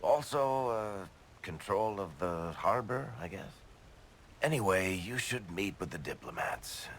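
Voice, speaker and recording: An actor playing a middle-aged roguish dwarf in a video game, wry and offhand with a gravelly voice. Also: male voice, sits low, expressive, measured pace, slight background noise. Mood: casual, sincere. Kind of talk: video game dialogue acting.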